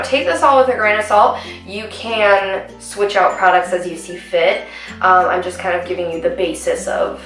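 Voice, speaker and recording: A woman's voice: medium pitch, a bit bright, recorded from a distance. A young woman, chatting casually through a makeup tutorial with lots of ums and asides. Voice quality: raspy. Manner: chatty, rambling with many ums. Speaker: beauty vlogger. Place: small room indoors at home. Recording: roomy echo, voice a bit off-mic, faint music.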